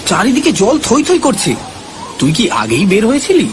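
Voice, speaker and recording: A man acting as an excitable, inquisitive friend in a dramatized ghost story, with exclamatory, incredulous questioning. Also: high voice, moderate pace, music in the background. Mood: astonished, concerned. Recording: clearly noisy background ambience.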